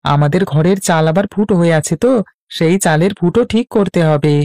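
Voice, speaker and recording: An actor voicing a naive, dithering young village husband who is anxious to please in a cartoon. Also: male voice, on the high side, moderate pace, darkish tone, no echo, clean studio sound, close to an everyday voice. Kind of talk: Bengali cartoon voice acting.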